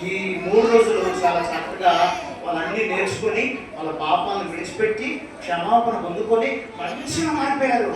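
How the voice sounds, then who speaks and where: A man's voice: high-pitched, a little fast, distant mic. A man presenting a children's church puppet show, speaking as a patient, correcting teacher and ventriloquist. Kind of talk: live children's puppet show, playful preaching. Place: large church hall with audience. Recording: echoey hall from afar, loud crowd noise.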